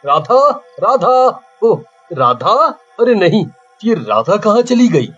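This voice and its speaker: A man's voice: sits high, big pitch swings, takes it slowly, fairly bright tone, breathy edge, pitched above the performer's own voice, exaggerated voice. A man voicing an anxious, devoted husband in a cartoon, urgent and melodramatic. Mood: panicked. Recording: dull lo-fi track with background music.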